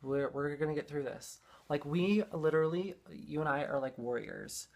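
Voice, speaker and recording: A young adult with a mid-pitched voice talks casually and earnestly, as a guest sharing personal stories. The delivery is emphatic and rambling, circling back over phrases to stress them. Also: moderate pace, vocal fry. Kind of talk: casual heartfelt storytelling.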